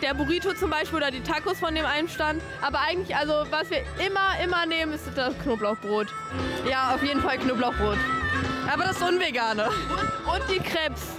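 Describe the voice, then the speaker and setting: High-voiced young festival goer over music. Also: female voice, animated, fairly quick. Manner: chatty, enthusiastic. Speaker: festival visitor. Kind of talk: street interview. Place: outdoor festival. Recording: festival music and crowd behind.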